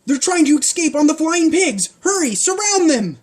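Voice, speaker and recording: A woman reading a storybook aloud, voicing gloating candy guards who shout orders. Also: mid-range voice, sing-song, slow, breathy edge, voice pushed up, full character voice. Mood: taunting. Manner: shouting, taunting.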